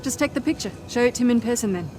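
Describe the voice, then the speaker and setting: A woman's voice: a little high, lively intonation, very fast, over music, close to an everyday voice. A woman playing a blunt, no-nonsense female mercenary in a video game cutscene, with a dry, clipped, critical manner. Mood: observant, matter-of-fact.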